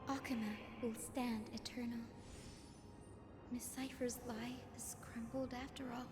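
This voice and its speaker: A woman voicing a gentle, selfless young woman in a video game, soft-spoken and wistful. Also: a little high, level tone, unhurried, natural voice. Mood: wistful, serene. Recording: echoey long reverb, music over the voice.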